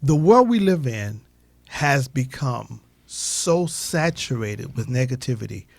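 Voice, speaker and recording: A man's voice: medium pitch, big pitch swings, takes it slowly, gravelly, dry recording. A man hosting a radio talk show in a casual, conversational style, affirming and often repeating short phrases.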